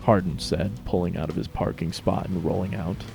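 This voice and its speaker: A man's voice: low-pitched, steady tone, fairly quick, gravelly, over music. A man narrating a scripted story as a dramatic reading.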